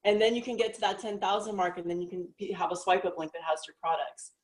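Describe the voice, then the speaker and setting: A woman's voice: lowish voice, steady tone, quick pace, rough recording. A woman hosting a casual live training chat, talking in an enthusiastic, gushing way. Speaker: host of a team training live.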